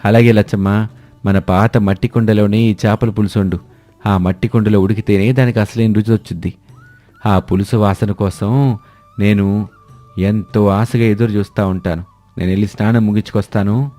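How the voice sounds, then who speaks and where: A man as a deep-voiced, loving village husband. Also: steady tone, normal speed, dark tone, faint music behind, lightly acted. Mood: fond. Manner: affectionate, appreciative.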